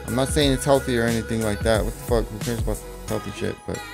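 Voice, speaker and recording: Gravelly man rambling over loud music. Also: fairly low pitch, fast talker. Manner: profane, rambling, laughs at own jokes. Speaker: vlogger. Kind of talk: rambling off-the-cuff monologue.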